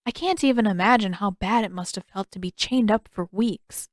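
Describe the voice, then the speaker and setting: A woman's voice: mid-range voice, normal speed, dry recording, clean studio sound, close to an everyday voice. A woman voicing an earnest, sheepish young boy in an audiobook, hesitant and a little defensive. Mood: earnest, apologetic.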